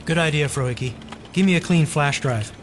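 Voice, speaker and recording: An actor playing an earnest, cautious, methodical conspiracy investigator in an audio drama. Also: male voice, fairly high pitch, normal speed, a little hiss or hum, natural voice. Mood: careful.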